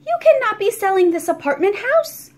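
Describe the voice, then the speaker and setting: An earnest young storybook newcomer speaks in careful, formal phrases, as a woman reader puts them on. The voice sits high and sounds eager to help. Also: big pitch swings, normal speed. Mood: urgent.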